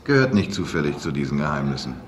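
An actor in a German radio play, playing an authoritative telepathic police investigator who questions people pointedly. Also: male voice, rumbling low, sing-song, fast talker. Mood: probing, insistent.

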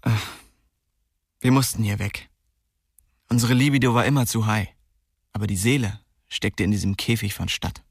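A man's voice: fairly low pitch, fairly even intonation, normal speed, pauses often, slight background noise, natural voice. An actor playing a cocky, restless young man who narrates his story in a slangy, streetwise radio drama style. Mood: cheeky, indignant. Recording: music behind the voice.

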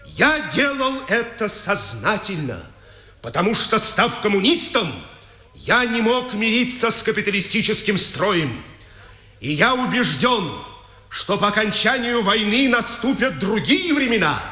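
An actor playing a proud, defiant communist prisoner of forty on trial, declamatory and impassioned, in a 1950s Soviet radio drama. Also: male voice, sits high, sing-song, measured pace, sharp tone, exaggerated voice. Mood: proud defiance. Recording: echoing hall, 1950s radio recording.

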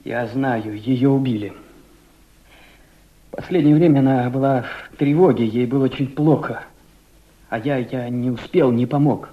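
An actor playing a devoted, sensitive young male aide in a Soviet radio play, emotive and halting. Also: medium pitch, natural voice. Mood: grief-stricken. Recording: muffled archival radio drama recording.

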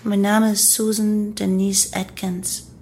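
A woman's voice: mid-range voice, flat delivery, slow pace, background noise. A woman playing a young female cult follower who recounts her life and crimes matter-of-factly in a German radio play.

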